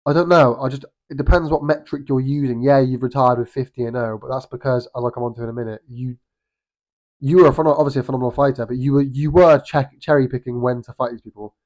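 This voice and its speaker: A man's voice: medium pitch, steady tone, fast, darkish tone. A young British man with a cold and a slightly nasal voice, giving a casual, rambling boxing opinion vlog. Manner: rambling, frequent restarts and ums. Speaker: boxing vlogger.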